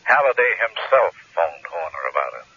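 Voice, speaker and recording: Radio crime drama: crooked commissioner, raspy, lively pitch, guarded and uneasy. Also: male voice, moderate pace, exaggerated voice. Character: uneasy, corrupt male police commissioner. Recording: dull, phone-like old radio recording.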